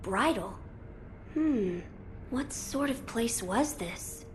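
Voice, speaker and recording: A woman's voice: on the high side, expressive, very slow, a little hiss or hum. Woman acting composed, noble young boy lord. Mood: thoughtful, resolute. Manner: formal, thoughtful, composed. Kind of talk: video game cutscene acting.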